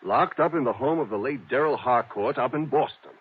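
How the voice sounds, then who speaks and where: An actor playing a grumbling, fretful American insurance executive in an old radio drama, talking bluntly and conversationally. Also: male voice, mid-range voice, brisk, slightly rough. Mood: exasperated. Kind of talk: 1950s radio acting. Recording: narrow old radio, faint noise.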